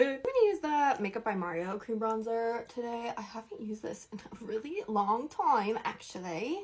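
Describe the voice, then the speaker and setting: A woman's voice: fairly high pitch, slightly rough. A Canadian woman chatting casually through a makeup tutorial, playful and slangy, with statements that rise like questions. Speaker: beauty content creator.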